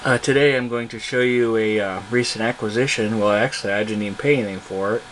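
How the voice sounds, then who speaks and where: A man casually showing off a piece of his collection on camera, speaking in a halting, plainspoken way. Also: on the low side, poor audio. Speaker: hobbyist video presenter, radio collector. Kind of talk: casual product show-and-tell, unscripted. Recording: loud steady hum and hiss.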